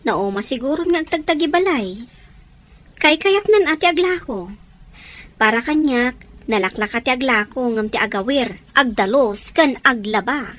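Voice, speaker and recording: A woman's voice: sits high, animated, normal speed, fairly bright tone. A woman playing a chatty, curious young character in a radio comedy drama.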